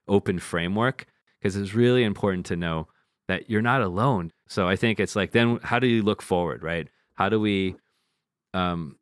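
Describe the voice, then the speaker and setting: A thoughtful man with a fairly low voice talks over his ideas in a searching, casual way. The sound is dry and close, with no room around it. He co-hosts a podcast. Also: clean tone, dry recording. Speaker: podcast co-host. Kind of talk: casual conversation.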